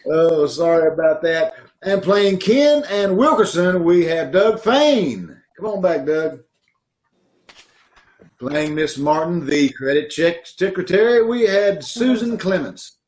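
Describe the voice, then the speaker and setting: A man's voice: high-pitched, animated, slow pace, darkish tone. A man hosting a live online show, introducing the cast in a chatty, loose and laughing way from home over a video call. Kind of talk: casual live sign-off.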